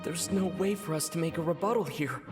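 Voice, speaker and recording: A man's voice: a little high, fairly quick, far from the mic, over music, close to an everyday voice. An actor voicing an earnest, worried young man in a video game, grave in manner and set slightly back from the microphone. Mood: despairing.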